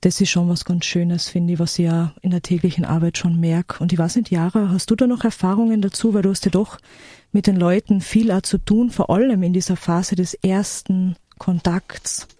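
A woman's voice: fairly low pitch, steady tone, moderate pace, dark tone, no room sound, clean studio sound. A woman and support worker explaining her work earnestly as a radio guest.

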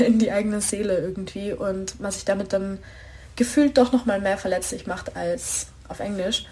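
Woman, songwriter, easygoing self-intro, mid-pitched, chatty. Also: a little hiss or hum. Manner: chatty, relaxed, fond of filler words. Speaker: singer-songwriter.

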